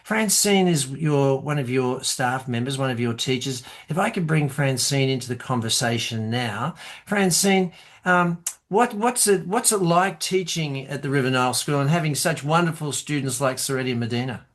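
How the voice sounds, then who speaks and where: A man hosting a podcast interview, gently encouraging his guests with slightly rambling questions. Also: medium pitch, normal speed. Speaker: podcast host.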